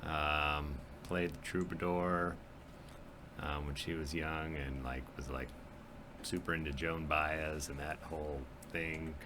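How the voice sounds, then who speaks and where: A man from Montana, a musician guest on a podcast, talking in a laid-back way that often trails off quietly. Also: extremely low pitch, little pitch movement, slow, noisy background. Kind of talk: casual chat. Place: studio.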